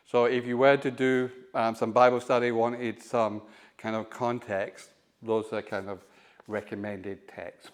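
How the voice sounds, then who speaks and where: A middle-aged man giving an informal church teaching talk, pausing often with ums and now and then laughing along with the group. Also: mid-range voice. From born in Scotland, raised in Melbourne. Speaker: guest teacher. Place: church hall.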